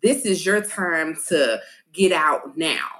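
A woman's voice: sits low, takes it slowly, fairly bright tone. A woman of about forty telling stories emphatically in a casual chat, voicing the quotes as she goes. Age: 40s. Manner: emphatic storytelling, acting out quotes.

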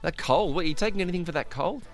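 A man's voice: a little high, very fast, faint music behind. A man co-hosting a sports radio show, rambling and full of fillers. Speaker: radio host. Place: radio studio.

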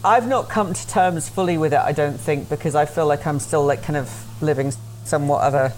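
A woman's voice: low voice, a little fast, a little mellow, a little hiss or hum, rough recording. A woman in her late thirties, chatting casually and frankly, swearing freely and restarting her sentences. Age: 30s. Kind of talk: casual chat.

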